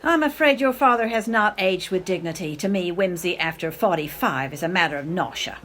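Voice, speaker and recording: Woman acting a theatrical, self-pitying mother, swooping pitch. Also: expressive, fairly quick, fairly bright tone. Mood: bitter, disdainful. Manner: theatrical, grandiose, martyred, with dramatic hesitations. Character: bitter, self-pitying, drunken middle-aged divorced mother. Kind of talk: amateur radio play acting.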